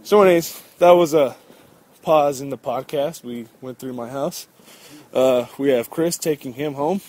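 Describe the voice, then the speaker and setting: A man's voice: medium pitch, a little slow, darkish tone. A young man hosting a casual podcast while walking outside at night, swearing freely and recorded on a handheld phone. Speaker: podcast host. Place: outdoors on a cold night walk. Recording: phone recording with faint background noise.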